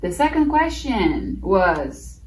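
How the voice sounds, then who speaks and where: A woman's voice: mid-range voice, very animated, slow pace, a little mellow. A young woman from Brazil with a Brazilian accent, chatting casually and thoughtfully, with many fillers and restarts. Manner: reflective, lots of fillers and restarts. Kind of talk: casual chat. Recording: roomy, distant from the mic, faint hiss.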